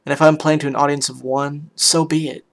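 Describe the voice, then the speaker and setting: A man voicing a heartbroken, weary pony musician in a hushed, halting, emotional first-person story reading. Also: a little high, flat delivery, gravelly. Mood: tender, resolute. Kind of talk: dramatic first-person fan fiction reading.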